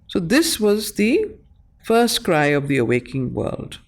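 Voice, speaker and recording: Slow, deliberate Indian woman teaching. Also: fairly low pitch, wildly swooping pitch. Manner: deliberate, emphatic, explanatory. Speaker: study circle facilitator reading and explaining a poem. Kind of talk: recitation of verse with teaching commentary.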